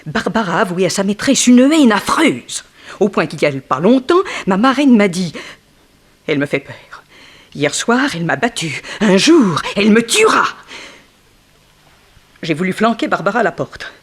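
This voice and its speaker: Theatrical, bitter ex-ballerina, swooping voice. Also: female voice, mid-range voice, very animated, husky. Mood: sardonic, gossiping. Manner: dramatic, insinuating, swinging from slow emphasis to outbursts. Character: bitter, theatrical ex-ballerina, sharp-tongued goddaughter of the victim. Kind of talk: French 1960s radio detective drama acting.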